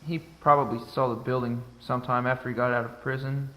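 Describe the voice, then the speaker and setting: A man's voice: medium pitch, flat delivery, fast talker, a bit bright, roomy sound, lightly acted, rough recording. A man playing an impatient, self-serving architect in an audio drama, curt and sceptical. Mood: dry, sceptical.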